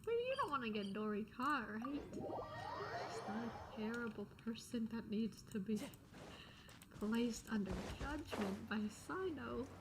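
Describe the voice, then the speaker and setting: Female streamer, young adult: highish voice, casual slangy reactions, clear noise behind her. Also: very animated, unhurried. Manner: slangy, muttered asides to the game. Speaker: gameplay streamer. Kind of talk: casual gaming reaction commentary. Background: video game audio.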